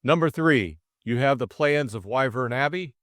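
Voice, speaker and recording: A man voicing a stern Russian who chairs a secret criminal society, speaking in a commanding, deliberate way for an audiobook. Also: medium pitch, animated, fairly bright tone, no room sound, studio quality, voice pushed up, natural voice. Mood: commanding, ominous.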